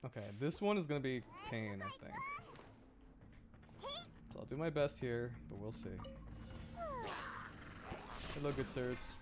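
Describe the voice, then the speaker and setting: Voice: mid-range voice, a little slow, long pauses, very noisy. A streamer giving casual live gameplay commentary, talking to themselves and the game characters, repeating phrases as they react. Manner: animated, reactive. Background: game music.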